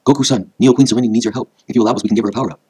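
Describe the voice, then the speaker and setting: A teenage magical girl fighter, acted by a man calls out urgently, pitched far higher than his normal speech. The words come fast and exclaimed, with a husky edge, as in an anime story. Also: steady tone, lightly acted. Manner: shouting, exclamatory. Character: urgent band of teenage girl sailor scouts cheering on.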